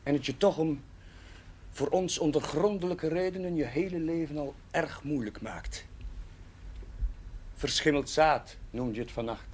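Earnest, higher-voiced chaplain played by an actor. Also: male voice, close to an everyday voice. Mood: earnest, pleading. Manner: pleading, measured, sincere. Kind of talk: 1970s Dutch television drama acting. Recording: faint noise on old TV audio.

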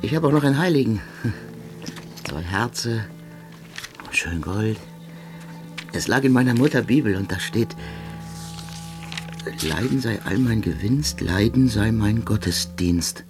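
An actor playing a doomed soldier of thirty in a radio drama, resigned, halting and terse. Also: male voice, lowish voice, expressive, over music. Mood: resigned, solemn.

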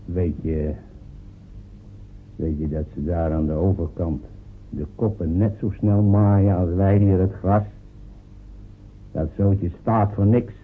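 A man's voice: low-pitched, steady tone, takes it slowly, long pauses, slight background noise. An actor playing a poor male villager with six children and a taste for beer, who talks in a hesitant, wheedling way. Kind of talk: radio drama acting. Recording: humming, phone-like radio recording.

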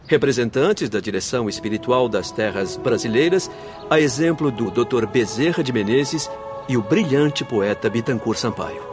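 Actor as earnest, eloquent Brazilian spirit doctor. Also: male voice, medium pitch, animated, normal speed, music in the background, close to an everyday voice. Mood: earnest, solemn. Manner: formal, eloquent, solemn. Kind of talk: radio drama acting.

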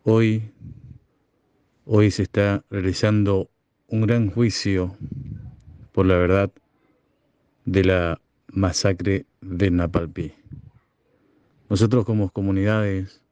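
A man from Chaco, a community broadcaster giving slow, halting, solemn testimony. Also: low voice, fairly even intonation, dark tone, smooth, no room sound, highs cut off. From Chaco, Argentina. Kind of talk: recorded testimony.

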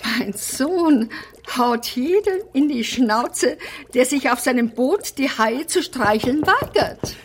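A woman's voice: sits high, animated, slow pace, a little hiss or hum. An actress playing a prim, bossy and whimsical eccentric woman in a German radio play. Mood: prim, insistent. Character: fussy, eccentric fish-loving woman living in an apartment house.

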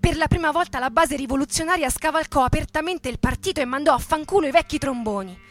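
An actress giving an emphatic, indignant radio theatre reading as a young militant recalling street riots. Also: female voice, high voice, fast talker, a bit bright, faint music behind. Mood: intense, proud. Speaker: actress and narrator.

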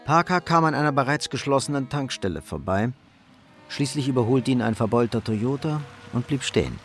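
A man's voice: mid-range voice, expressive, fairly quick, soft background music. A man narrating a crime radio play in a scripted, storytelling delivery.

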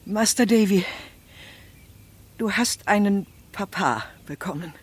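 A woman's voice: medium pitch, takes it slowly, husky, no room sound. An actress playing a devoted, plain-spoken servant woman in a German radio drama, homely, fretful and affectionate. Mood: anxious, tender. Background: music.

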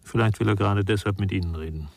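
Grave gentle man in a crime radio play, low and gravelly, reflective. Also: fast talker, slight background noise. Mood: serious. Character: grave, gentle male confidant with childhood exile memories. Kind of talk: German radio crime drama acting.

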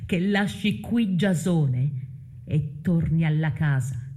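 Slow, solemn tragic chorus. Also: female voice, low-pitched, mellow. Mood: stern banishing. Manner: solemn, measured, literary. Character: solemn tragic chorus denouncing a dangerous sorceress. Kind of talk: declaimed classical tragedy in radio drama.

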